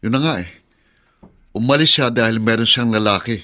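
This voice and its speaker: A man's voice: mid-range voice, a little fast, sharp tone, dry recording, natural voice. A man playing a bitter, suspicious and stubborn estranged husband in a radio drama. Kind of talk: Tagalog radio drama acting.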